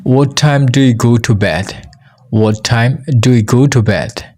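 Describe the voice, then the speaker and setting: A man's voice: medium pitch, measured pace, a little mellow. A man with a Mizo accent, a native Mizo speaker teaching English, who says each phrase twice for learners.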